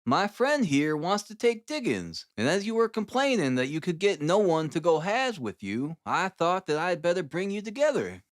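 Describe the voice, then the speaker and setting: Man playing an affable, teasing chap, very animated. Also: high voice, normal speed, few pauses, pitched above the performer's own voice. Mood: amused, brisk. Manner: wry, jovial. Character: affable, teasing male companion of the detective. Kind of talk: audiobook character acting.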